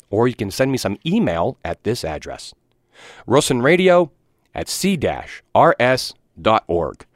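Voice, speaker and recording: A man's voice: medium pitch, no echo. A man with a Pittsburgh accent hosting a radio show from a studio, chatty and joking as he talks to listeners. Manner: chatty and joking, reads out contact details. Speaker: radio host.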